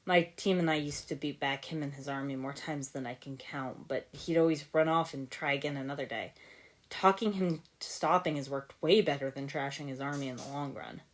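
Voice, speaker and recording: A woman's voice: low voice, fairly quick, lightly acted. A woman voicing an earnest young male ninja hero, casual and sincere, in a story reading. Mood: earnest, rueful.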